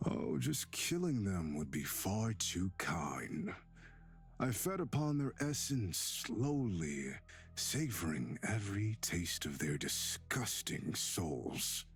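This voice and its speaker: A man voicing a bitter, mocking centuries-old demon in a young man's body, with theatrical drawn-out delivery, for a visual novel game. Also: sits low, very animated, full character voice. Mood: mocking, menacing. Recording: music behind the voice.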